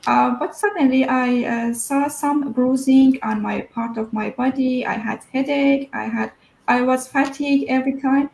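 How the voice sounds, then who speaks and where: A woman's voice: high-pitched, fairly even intonation, moderate pace, vocal fry, darkish tone, reverberant, low-quality recording. A woman, telling her personal story as an interview guest, hesitant and full of fillers. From Iran.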